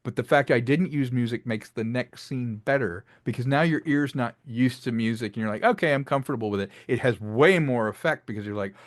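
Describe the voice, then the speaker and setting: A man's voice: medium pitch, a little fast, few pauses. Man telling stories in an interview. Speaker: interviewed filmmaker and audio drama creator. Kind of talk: casual interview talk about craft.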